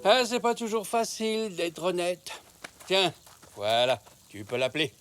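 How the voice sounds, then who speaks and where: A man voicing a kindly, wise old grandfather zebra in a children's cartoon, gentle, patient and encouraging. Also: high voice, very animated, measured pace, faint music behind, heavily acted. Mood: gentle, guiding. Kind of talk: French children's cartoon dubbing.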